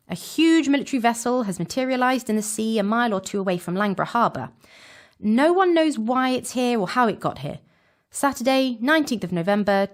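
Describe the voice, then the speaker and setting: A woman playing a frank young time-travelling companion, narrating an audio drama recap with dramatic storytelling delivery. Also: fairly high pitch. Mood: earnest, resolute.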